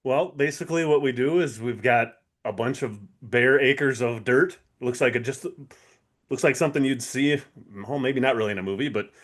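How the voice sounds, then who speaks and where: A man's voice: mid-range voice, normal speed, fairly bright tone. A man co-hosting a podcast, chatting casually in an agreeable, plain-spoken way. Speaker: podcast co-host. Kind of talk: casual chat.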